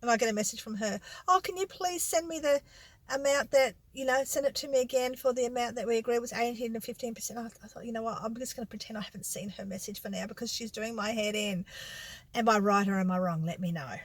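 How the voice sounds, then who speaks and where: A woman's voice: a little high, fast. Middle aged aussie lady vlogger just chatting away, rambly, fixes herself mid sentence, dry sound no echo. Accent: Australian. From Australia. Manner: rambling, self-correcting, colloquial. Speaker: vlogger and secondhand reseller. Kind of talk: casual chatty vlog monologue.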